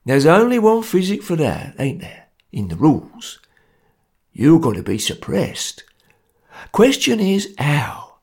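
Gruff ringleader, severe and grim, gravelly voice, as a reader puts him on. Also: male voice, a little high, huge pitch swings, slow pace, darkish tone, pitched above the performer's own voice, exaggerated voice. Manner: gruff, severe, matter-of-fact. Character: grim stern male ringleader, working-class.

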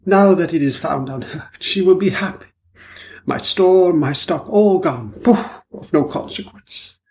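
A man puts on a rattled foreign gentleman in a storybook mystery, his voice pitched up high and slowed into drawn-out, halting pleas. He sounds shaken and eager to please. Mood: pleading. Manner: pleading, halting, emphatic. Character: nervous foreign man, dazed and jittery.

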